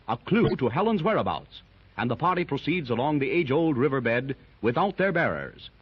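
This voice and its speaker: A man's voice: medium pitch, breathy edge. A male announcer giving a dramatic scripted recap of a 1930s radio serial, on a dull old recording.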